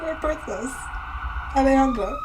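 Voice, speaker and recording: Casual podcast host: woman, high voice, raspy at times, animated and laughing, recorded on a distant mic with faint room noise. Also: a little slow. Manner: animated, exclaiming, laughing often.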